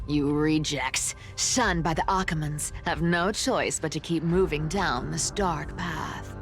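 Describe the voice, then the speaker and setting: A woman's voice: low-pitched, slow. A woman voicing a sly, smug trickster in a video game, theatrical and teasing, with a young adult sound. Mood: smug, gleeful. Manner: teasing, mock-sweet, chuckling asides. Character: sly, smug, playful female trickster with ancient secrets. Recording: music behind the voice. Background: game music.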